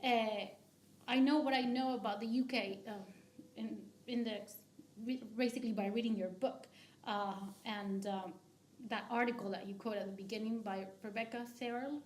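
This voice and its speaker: A woman from Argentina giving academic commentary as a discussant, halting often with restarts and fillers. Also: on the high side, fairly even intonation, reverberant. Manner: hesitant, many restarts and fillers. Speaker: discussant at a book talk.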